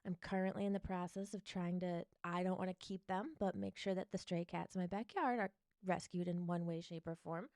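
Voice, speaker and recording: A woman's voice: on the low side, steady tone, brisk, hardly any pauses, darkish tone, no echo, clean studio sound. Giggly woman from upstate New York. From northern New York. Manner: laid-back, giggly, lots of ums. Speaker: podcast co-host, real estate agent. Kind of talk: casual chat. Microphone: podcast mic at her desk.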